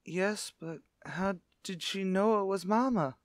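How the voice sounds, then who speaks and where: A man plays a young boy in an old-fashioned radio drama. The voice is pitched high, anxious and plaintive, pressing its point insistently. The sound is close and dry. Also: measured pace, no echo. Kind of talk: old-time radio play acting.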